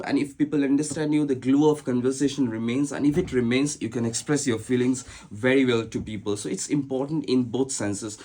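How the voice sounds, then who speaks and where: A man from India with a light Indian English accent mixed with American and British, talking through his thoughts in an unscripted monologue, pausing often on "uh" and restarting phrases. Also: mid-range voice, a little mellow. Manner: thoughtful, with frequent "uh" pauses and restarts. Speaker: vlogger.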